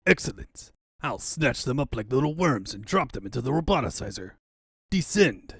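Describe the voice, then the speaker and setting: A man's voice: mid-range voice, very animated, normal speed, gravelly, fairly bright tone, slightly rough, dry recording, studio quality, exaggerated voice. A man voicing a pompous, bullying mad-doctor villain in a comic dub, with a grandiose, menacing delivery. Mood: commanding.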